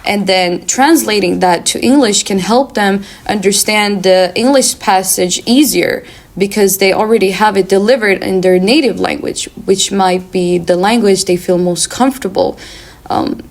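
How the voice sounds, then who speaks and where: A woman from Sweden, a native Swedish speaker, takes part in a casual discussion. She talks in careful, measured sentences, often pausing on small fillers as she thinks. Her pitch sits in the middle range.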